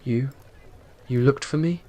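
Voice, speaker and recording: A man playing a brooding centuries-old vampire, guilt-ridden and lovestruck, muttering haltingly in a romantic roleplay audio drama. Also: mid-range voice, dark tone, pitched above the performer's own voice, natural voice. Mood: stunned, hushed.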